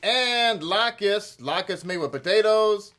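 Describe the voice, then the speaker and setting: A performer voicing a friendly, excitable rabbi for children, with hesitant, repetitive speech and exclamations of wonder. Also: medium pitch, takes it slowly. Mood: friendly, explaining. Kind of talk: children's question-and-answer skit.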